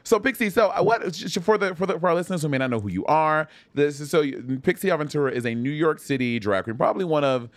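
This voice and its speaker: A man's voice: a little high, lively intonation, fast talker, hardly any pauses, no echo. A man hosting a podcast in casual chat, brash and giggly, often cursing. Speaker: podcast host.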